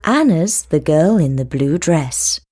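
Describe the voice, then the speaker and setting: A woman's voice: low-pitched, animated, takes it slowly, mellow, no echo, studio quality. A woman reading English course dialogues and lesson titles in a careful teaching manner. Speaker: language course narrator and dialogue reader. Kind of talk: slow, clearly read textbook dialogue.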